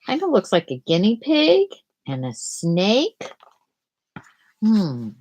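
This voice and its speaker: A middle-aged woman reads a picture book to children in an unhurried way, with plenty of rise and fall in her pitch. She pauses often and talks casually to her young listeners, asking them gentle questions as a storyteller. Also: wildly swooping pitch, slight background noise. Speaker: storyteller hosting a read-aloud for schoolchildren. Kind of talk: live picture-book read-aloud with casual chat.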